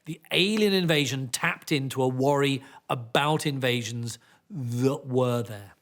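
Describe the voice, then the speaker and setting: A man's voice: mid-range voice, a little slow, a bit bright. A man giving unscripted interview commentary as a contributor to a documentary. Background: music.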